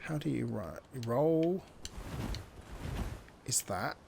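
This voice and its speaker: Guy chatting through his gameplay, excited, thinking out loud, mid pitch, faint hum behind. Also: very slow, gravelly. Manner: chatty, excitable, thinks aloud while playing. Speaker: gameplay streamer. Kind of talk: casual let's-play commentary.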